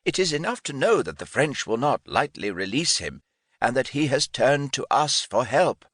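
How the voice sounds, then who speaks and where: A man's voice: medium pitch, very animated, moderate pace, fairly bright tone, no echo, clean studio sound, pitched above the performer's own voice. A man voicing an irascible grey-bearded English lord and commander in an audiobook, speaking formally and with imperious emphasis. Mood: brusque, insistent.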